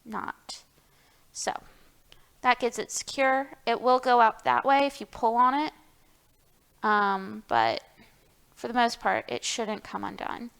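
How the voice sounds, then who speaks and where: A woman's voice: on the high side, expressive, takes it slowly, gravelly, long pauses, a bit bright, clean tone. A woman hosting a children's storytime, reading aloud and explaining things in an encouraging way, speaking directly to young listeners. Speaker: library storytime host.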